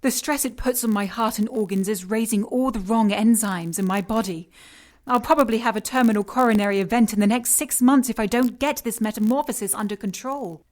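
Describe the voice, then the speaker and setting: A woman's voice: on the high side, brisk, a little mellow, close to an everyday voice. An actress playing a cold, obsessed female scientist and shapeshifter villain in an audio drama, composed, clinical and condescending.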